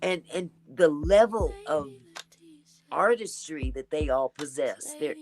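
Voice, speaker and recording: Woman with a deep raspy voice. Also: animated, takes it slowly, a little mellow, faint music behind. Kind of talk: casual chat.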